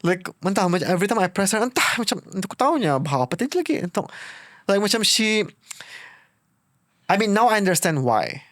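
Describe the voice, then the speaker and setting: A man's voice: sits high, brisk, dry recording, clean studio sound. A man in his thirties with a Bruneian accent, telling personal stories informally as the guest of an interview, often acting out his own past thoughts. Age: 30s. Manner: informal, animated retelling with quoted thoughts. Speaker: podcast host being interviewed. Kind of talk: casual personal storytelling in an interview.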